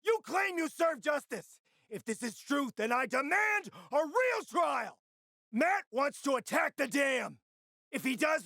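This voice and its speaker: Sly smooth-talking spymaster for a game, theatrical and urbane, pitch moves around a lot, dry recording. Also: male voice, pushed and bright, dry recording, heavily acted. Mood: urgent, accusing. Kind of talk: video game voice acting.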